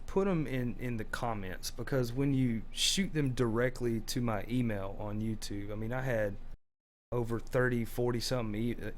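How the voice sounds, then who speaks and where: A man hosting a gaming channel, chatting casually through a game tutorial, rambling with many fillers and sounding slightly hoarse. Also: lowish voice, slight background noise.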